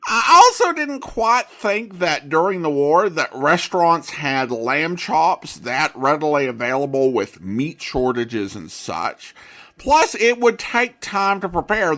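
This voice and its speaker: A man hosting a podcast, giving commentary in a deliberate, emphatic way with pauses between phrases. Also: on the high side, bright, forceful tone. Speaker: podcast host.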